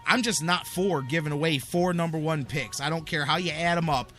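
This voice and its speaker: A male sports talk host with a bright, punchy voice pitched a little high. He talks in an emphatic, insistent way, driving opinions home by repeating them. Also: brisk, soft background music.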